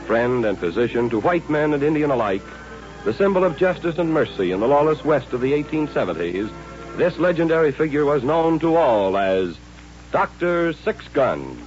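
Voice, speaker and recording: A man's voice: a little high, big pitch swings, normal speed, darkish tone. An American man announcing an old radio western in a grand, dramatic, scripted style. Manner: grand, stentorian. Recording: dull vintage radio recording, music behind.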